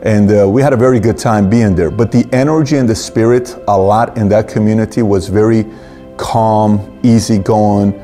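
A man hosting a conversational podcast interview, sometimes halting and repeating words as he forms his thoughts. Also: lowish voice, steady tone, dark tone, soft background music. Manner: halting, repeats words while forming questions.

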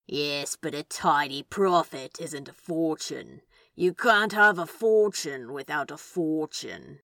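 A woman's voice: deep voice, lively intonation, slow pace, fairly bright tone, no room sound, studio quality, exaggerated voice. A woman playing a stern, pedantic older brother who chides and nitpicks in a fan audio drama. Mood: chiding. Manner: pedantic, chiding.

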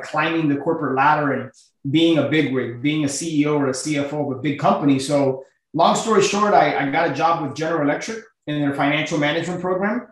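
A middle-aged man from Miami speaking as a podcast guest, telling his story in an earnest, informal, casual way in a very roomy recording. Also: a little high. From Miami, United States. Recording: very echoey, roomy sound.

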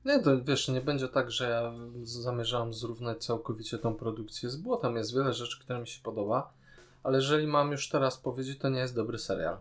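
A man's voice: medium pitch, fairly even intonation, a little fast. A man co-hosting a casual podcast discussion, giving opinionated, critical commentary. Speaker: podcast co-host.

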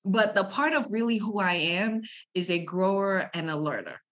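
A woman's voice: medium pitch, expressive, moderate pace, a bit bright. A woman in her forties from Trinidad and Tobago, speaking conversationally as an interview guest. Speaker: podcast guest, HR consultant and coach. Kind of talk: conversational interview, introducing herself.

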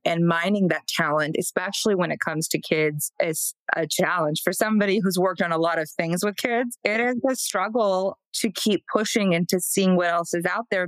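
A woman's voice: lowish voice, no echo, poor audio. A woman co-hosting a podcast, a casting director, speaking thoughtfully and feeling her way through her points. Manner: thoughtful, searching, restarts sentences. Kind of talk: interview conversation.

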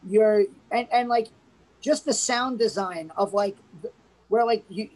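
A woman's voice: medium pitch, a little slow, a little mellow, rough recording. A woman chats about a film on a podcast in a loose, rambling way. Her sentences keep restarting and are packed with "like"s. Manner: rambling, full of "like"s, laughs. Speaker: podcast co-host. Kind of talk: casual movie review chat.

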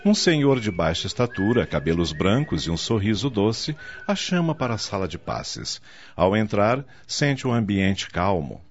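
A man's voice: fairly low pitch, sing-song, moderate pace. A man narrating a radio soap opera in a dramatic scripted style. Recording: dull lo-fi audio with music.

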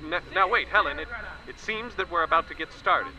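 An actor playing a seasoned male war correspondent reporting live, plain-spoken with halting restarts. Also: on the high side, lo-fi sound, close to an everyday voice. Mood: calm. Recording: loud vehicle and wind noise.

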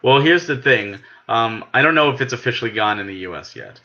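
A man's voice: medium pitch, animated, fairly quick, bright, forceful tone, dull audio. A man with an American accent talking casually and persuasively about things as a podcast host, emphatic and explanatory. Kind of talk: casual gaming podcast discussion.

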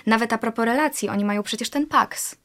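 A woman's voice: fairly high pitch, fast talker, a bit bright. A young woman from Poland, a guest in a casual interview, talking thoughtfully in long searching sentences with many fillers. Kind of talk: casual conversation.

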